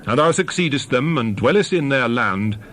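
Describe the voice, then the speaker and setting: Animated, solemn male scripture narrator. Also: mid-range voice, normal speed, a little hiss or hum. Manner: solemn, declamatory, emphatic. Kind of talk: dramatized scripted Bible reading.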